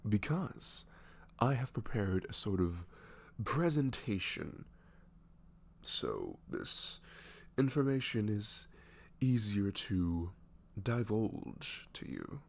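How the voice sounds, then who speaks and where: A man playing a goofy young best friend in an ASMR roleplay, halting and mock-professional. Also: lowish voice, sing-song, creaky, full character voice. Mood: mock-serious, playful.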